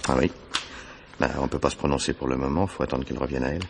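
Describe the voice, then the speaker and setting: An actor playing a blasé hospital intern in a 1950s-style French radio crime drama, offhand and unhurried. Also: male voice, extremely low pitch, background noise. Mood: blasé, clinical. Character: blasé hospital intern, casually reporting on a patient.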